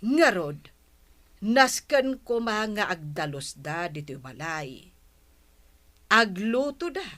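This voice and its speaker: A woman's voice: medium pitch, very animated, slow pace, pauses often, a bit bright. A woman playing a fed-up, scornful middle-aged mother, blunt and exasperated, in an audio drama. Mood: bitter, dismissive. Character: fed-up, scornful mother washing her hands of her son. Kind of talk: Ilocano radio-style audio drama acting.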